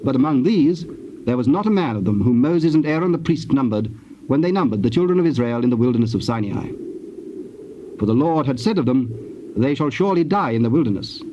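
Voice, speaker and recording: A man narrating scripture from a script in a measured, formal storytelling manner. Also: mid-range voice, lively intonation, warm tone. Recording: dull old tape, faint noise.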